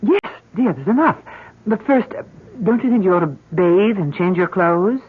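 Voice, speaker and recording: Solicitous mother in vintage radio play; breathy, soothing, thin old radio sound. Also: medium pitch, animated, normal speed. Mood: gentle concern. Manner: soothing, coaxing. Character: gentle, solicitous mother coaxing her daughter. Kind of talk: old-time radio drama acting. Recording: muffled tinny old radio recording.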